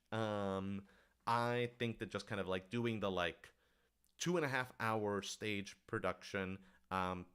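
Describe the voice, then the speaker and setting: A man's voice: fairly low pitch, fairly bright tone, clean tone. A man speaking casually and earnestly on a question-and-answer livestream, enthusiastic and given to rambling asides. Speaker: writer-director answering audience questions.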